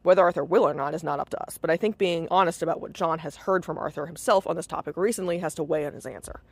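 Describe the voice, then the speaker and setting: A man's voice: a little high, fast talker, vocal fry, no echo. A man giving prepared podcast commentary in an assertive, articulate and fluent way.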